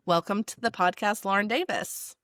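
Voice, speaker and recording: A woman's voice: medium pitch, normal speed, a bit bright, no room sound, studio quality. A woman co-hosting a podcast, introducing a guest with enthusiastic, upbeat chat. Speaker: podcast co-host.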